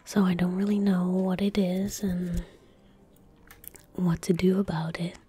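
A young woman gives casual ASMR commentary while gaming, very close to the mic. Her voice is breathy and whispered, with a dark, relaxed tone. She speaks gently and slowly, with little rise or fall in pitch. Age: young adult. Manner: calm, gentle, unhurried. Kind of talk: soft-spoken ASMR, casual gameplay commentary. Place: small indoor room at a desk. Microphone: close desk microphone.

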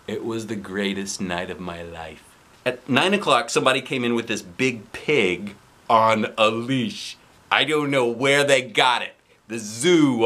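Animated, bright-voiced man reading to children. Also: medium pitch. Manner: expressive, playful, exaggerated delivery. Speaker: children's book reader. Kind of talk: animated read-aloud of a children's story, with asides to young viewers. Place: indoors, seated on a couch.

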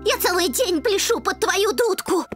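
A woman voicing a bossy, lively older-sister girl in an animated cartoon, with an expressive, teasing way of talking. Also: very high voice, moderate pace, faint music behind. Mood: fed up.

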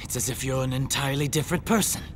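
An actor playing a stern, proud vampire hunter who speaks in commanding, formal, archaic phrases for a video game. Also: male voice, medium pitch, racing, heavy background noise, close to an everyday voice. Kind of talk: dramatic video game acting. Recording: music behind the voice. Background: music.

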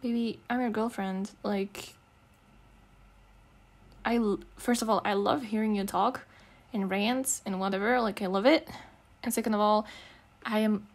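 A woman's voice: mid-range voice, steady tone, a little slow, pauses often. A young woman speaking in a casual, intimate girlfriend roleplay, teasing, slangy and affectionate. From Eastern Europe. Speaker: ASMR roleplay performer playing a girlfriend.

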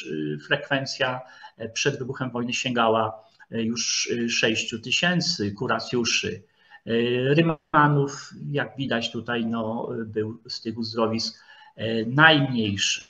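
A man's voice: medium pitch, creaky, bright, forceful tone. Hesitant male lecturer, dull lo-fi audio. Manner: hesitant, halting, repeats words. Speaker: historian giving a lecture. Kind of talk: informal lecture with slides. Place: online video call presentation with screen share. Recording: dull, lo-fi online call audio.

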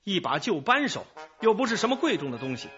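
An actor playing a chatty, evasive middle-aged informant in a radio drama, rambling, sighing and excusing himself. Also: male voice, sits high, big pitch swings, fast, sharp tone, muffled recording, soft background music, heavily acted. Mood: explaining. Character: garrulous, evasive male small-time informant, self-excusing.

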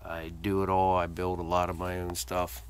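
Deep flat-voiced guy, slow and easygoing, walking through his gear room on his phone, faint room hum. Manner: plain, matter-of-fact listing with hesitant fillers. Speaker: hobbyist giving a tour of his fishing workshop. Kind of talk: casual walkthrough narration. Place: cluttered home workshop indoors. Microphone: handheld phone camera held by the speaker.

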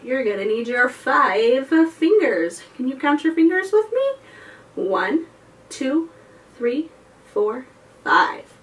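An American woman leads a children's storytime at an unhurried, very slow pace. She reads expressively with a sing-song lilt. Her voice sounds a little distant from the microphone. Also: on the high side. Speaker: children's librarian, storytime host. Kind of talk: children's storytime read-aloud and talk. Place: indoors, before a chalkboard backdrop. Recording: voice slightly distant from the mic.

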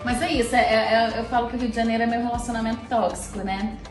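A woman's voice: on the high side, fairly even intonation, quick pace, far from the mic, music in the background. Bubbly young woman host, echoey room. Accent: Brazilian Portuguese. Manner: bubbly, laughs often, teasing. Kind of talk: casual interview chat. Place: indoor living room on a sofa. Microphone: handheld mic from a few feet.

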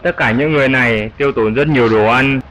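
A man's voice: medium pitch, fast talker, natural voice. Patient old grandfather bat voiced by a man, noisy background. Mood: patient, explaining. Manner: explanatory, patient. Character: wise elderly male fruit bat, patient grandfatherly teacher. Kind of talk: dubbed educational cartoon dialogue.

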